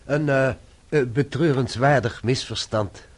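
An actor playing a polite, unruffled police inspector in a radio play, speaking formally. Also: male voice, medium pitch, a little slow, natural voice.

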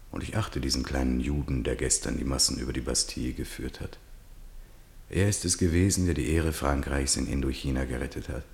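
Man playing proud old general, extremely deep, echoing. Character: aging, proud, solitary French general brooding on power.

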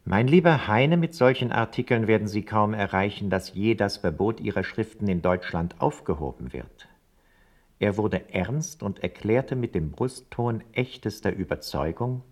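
A sly snitch reading his report in a radio play, lowish voice, formal and scornful. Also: animated, moderate pace. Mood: contemptuous. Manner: formal, disdainful. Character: scornful, sly male secret informer reporting to his superior. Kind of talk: radio drama, dictated report.

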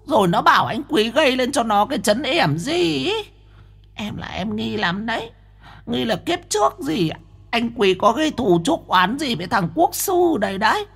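A woman voicing a chatty, easily frightened young female spirit medium in a breathy voice. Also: a little high, sing-song, moderate pace, exaggerated voice. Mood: anxious. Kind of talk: audiobook character voice.

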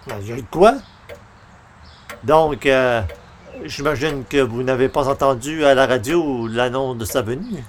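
A man playing an excitable, geeky newspaper columnist in an old-style radio comedy, theatrical and exclamatory. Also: medium pitch, a little slow, a little hiss or hum, lightly acted. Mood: disappointed, earnest. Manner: theatrical, exclamatory, muttering to himself.

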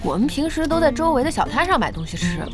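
A woman's voice: high voice, big pitch swings, fast, over music. An actress playing a spirited, chatty, blunt young woman who runs a shop. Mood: friendly, casual. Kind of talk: Chinese audio drama acting.

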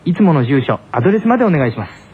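A woman co-hosting a radio show in a lively presenting style. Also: low voice, racing, breathy edge. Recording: narrow-band radio with music behind.

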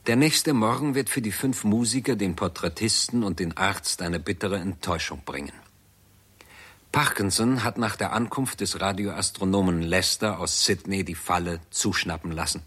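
A man giving sober, measured scripted narration for a 1960s German radio drama. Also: low-pitched, fairly bright tone, breathy edge.

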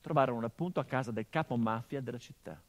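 A bitter, haunted son mourning a murdered father, voiced by a middle-aged actor in a stage monologue. His voice sits at a medium pitch and carries a sharp irony. It swells into loud outbursts, then drops to low, hushed tones. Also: quick pace, natural voice. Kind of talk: theatrical monologue.

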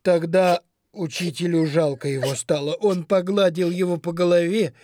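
A woman narrating a children's story in an expressive, dramatic storytelling manner. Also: fairly low pitch, normal speed, no echo, clean studio sound. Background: music.

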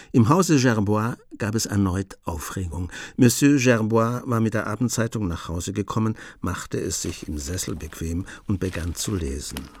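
A man narrating a radio play in a measured storytelling tone. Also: fairly low pitch, darkish tone, dry recording.

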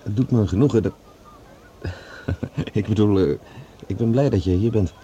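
An actor playing a friendly, thoughtful scientist on a Mars project in a Dutch science fiction radio drama. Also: male voice, on the low side, moderate pace, warm tone, highs cut off, slight background noise, close to an everyday voice. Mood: warm, fond.

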